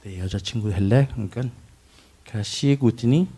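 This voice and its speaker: A middle-aged man giving a personal testimony, recalling his childhood in a reflective way with pauses and restarts. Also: on the low side, warm tone, husky. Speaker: testimony speaker.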